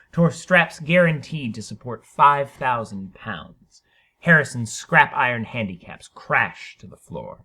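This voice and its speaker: A man's voice: medium pitch, pushed and bright. A man narrating a short story as a dramatic reading, with theatrical, emphatic delivery. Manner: theatrical, emphatic, deliberate phrasing.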